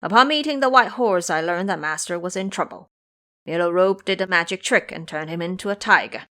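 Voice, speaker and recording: A woman's voice: lowish voice, brisk, bright, forceful tone. A woman voicing a chattering, complaining male pig disciple in an audio drama. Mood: explaining.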